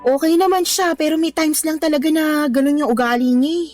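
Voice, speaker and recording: A woman's voice: sits high, steady tone, fairly quick, darkish tone, soft background music, close to an everyday voice. A woman playing a hurt, defensive young girlfriend. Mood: confiding. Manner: defensive, pleading. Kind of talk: radio drama acting.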